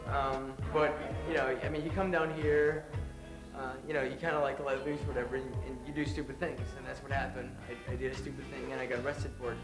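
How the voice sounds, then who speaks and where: A man's voice: medium pitch, little pitch movement. A man in his twenties giving a casual, hesitant interview account. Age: 20s. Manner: halting, hesitant. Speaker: arrested reveler giving an interview. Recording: echoey room under loud music.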